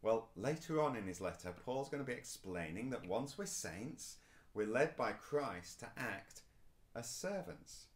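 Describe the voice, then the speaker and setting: A man's voice: mid-range voice, big pitch swings, unhurried. A man, preaching a recorded sermon to camera in a small indoor room, earnest and emphatic. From England. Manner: earnest, rising and falling emphasis, gestures while speaking. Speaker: pastor.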